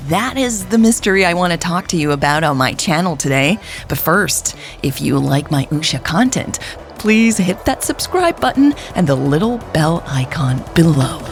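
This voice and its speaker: A woman's voice: low-pitched, lively intonation, moderate pace, faint music behind. A woman playing an eager online video channel host in an audio story. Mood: eager, promotional.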